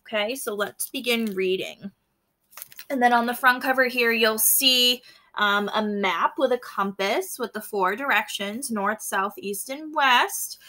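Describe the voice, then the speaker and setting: A woman teacher reading a book aloud to fourth graders, pausing to explain and prompting them to notice and wonder. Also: fairly high pitch, sharp tone. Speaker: elementary school teacher. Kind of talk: read-aloud lesson for fourth graders, explaining as she goes.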